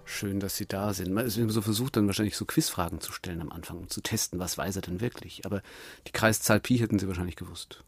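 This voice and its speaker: A man's voice: low voice, fast, husky, soft background music. A man hosting a radio talk show, asking probing and playfully teasing questions. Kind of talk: radio interview. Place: radio studio.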